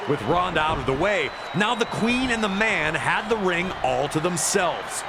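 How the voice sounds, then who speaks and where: High-pitched man narrating wrestling, loud crowd. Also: animated, moderate pace, vocal fry. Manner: emphatic, dramatic storytelling delivery. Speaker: wrestling commentator. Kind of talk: scripted dramatic sports retrospective narration. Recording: loud crowd noise behind the voice.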